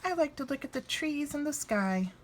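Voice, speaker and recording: A small, earnest storybook robin, voiced by a woman. The bird speaks sweetly in a slightly raised, expressive voice. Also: sits high, moderate pace, pitched above the performer's own voice. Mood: wistful.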